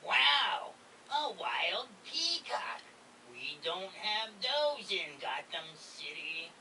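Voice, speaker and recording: A woman's voice: mid-range voice, sing-song, bright, forceful tone, distant mic, slight background noise, full character voice, low-quality recording. A woman playing a childlike, simple-minded male helper in an audio drama, naive and whiny. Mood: naive, coaxing. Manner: slow, naive, whimpering when scared.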